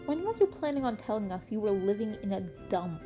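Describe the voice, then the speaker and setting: A tired, grumpy male teacher from an audio story, put on by a woman. He sounds dry and exasperated, with a mellow, dark tone. Music sits behind the voice. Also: medium pitch, big pitch swings, fairly quick, natural voice. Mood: accusing. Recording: music playing under the voice.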